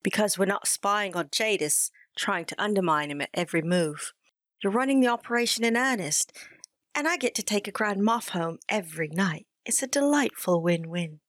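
A woman's voice: mid-range voice, lively intonation, normal speed, fairly bright tone, no echo, clean studio sound, natural voice. An actress playing an affectionate female spy partner who teases playfully. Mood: teasing. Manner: playful, teasing.

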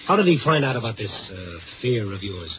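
An actor playing a brooding, sympathetic newspaper reporter in a 1950s radio drama, measured and confiding. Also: male voice, on the low side, very animated, background noise. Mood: sympathetic, wry. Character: brooding, sympathetic male newspaper reporter, night-wandering story hunter. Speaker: narrator and lead. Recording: tinny old radio broadcast with music.

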